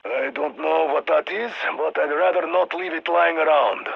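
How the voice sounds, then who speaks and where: Gravelly, dry Russian military commander. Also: male voice, a little high, expressive, moderate pace, narrow, tinny audio, full character voice. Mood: commanding. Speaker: squad handler on radio. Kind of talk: scripted game voice lines, mission briefing.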